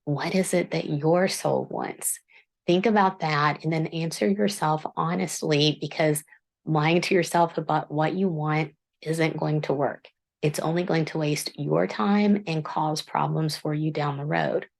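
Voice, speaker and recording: A woman author hosting her book channel, speaking in a low voice in an earnest, self-deprecating, measured monologue. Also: steady tone, vocal fry. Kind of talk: reflective scripted monologue.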